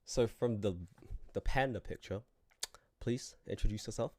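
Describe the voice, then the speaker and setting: A man's voice: on the low side, normal speed, darkish tone. A man in his twenties from the Philippines, chatting casually as the host of a podcast. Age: 20s.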